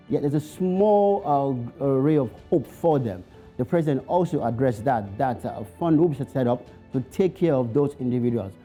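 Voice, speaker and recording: Very dark-toned male business editor. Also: mid-range voice. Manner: hesitant with fillers. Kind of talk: studio interview answers.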